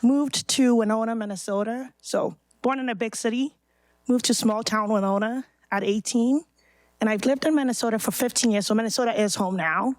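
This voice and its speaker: A woman from Ghana, a first-year med student, introduces herself with a slightly high voice. The sound is dry and close. She speaks easily and plainly. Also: moderate pace, no room sound.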